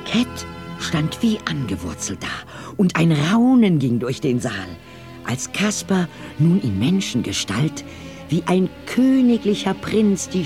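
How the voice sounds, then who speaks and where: A male narrator tells a story at an unhurried pace, his pitch moving in a lively way. The delivery is scripted, like a storybook, with clear music behind it.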